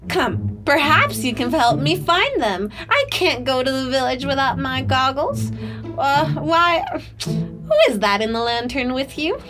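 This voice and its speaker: A woman's voice: sits high, expressive, unhurried, over music, voice pushed up. Woman as jolly little witch, fussy, bustling, bouncy voice, storybook. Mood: fussing. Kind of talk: storybook reading.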